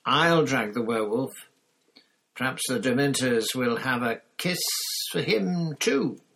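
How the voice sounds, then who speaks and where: A man's voice: lowish voice, a little slow, a bit bright, a little hiss or hum. A man voicing a sneering, vengeful male professor who speaks in a silky, spitting, menacing way, in an audiobook. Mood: silky threat. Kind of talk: audiobook character voice.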